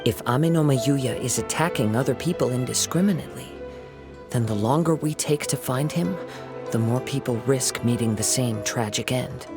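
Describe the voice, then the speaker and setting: A man playing a composed young detective in a game who lays out his deductions step by step. His mid-pitched voice carries a slight gravelly edge and stays even and analytical. Faint game music runs underneath. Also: normal speed, close to an everyday voice. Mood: calm. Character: calm, sharp young male detective.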